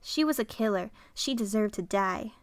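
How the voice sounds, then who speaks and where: A woman plays a coolly murderous maid in a noir radio drama. She has a medium-pitched voice and delivers her menace in a matter-of-fact way. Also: expressive, normal speed, lightly acted. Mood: coolly menacing.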